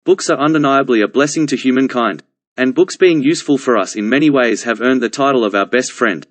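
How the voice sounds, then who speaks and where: A man reading a study passage aloud in a measured, formal way. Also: medium pitch, dry recording. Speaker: reader of practice exam passages.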